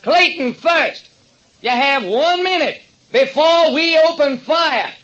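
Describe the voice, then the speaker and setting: An actor playing a police lieutenant who talks loudly and emphatically in a raised voice. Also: male voice, animated, slow, slight background noise, full character voice. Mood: confident. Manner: loud, emphatic, high-pitched. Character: anxious police lieutenant, boastful then worried. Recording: dull lo-fi old radio broadcast.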